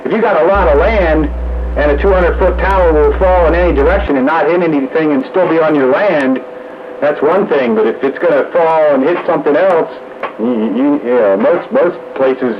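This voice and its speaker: A man's voice: on the high side, normal speed. A man chatting casually as a regular on an amateur radio net, heard over a two-way radio. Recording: noisy, muffled two-way radio.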